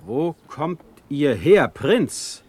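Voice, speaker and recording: An actor playing a stern, imperious Prussian ruler in a classical verse radio drama, speaking in a measured, formal, commanding way. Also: male voice, a little high, very animated, a little mellow, breathy edge, exaggerated voice. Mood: cold command.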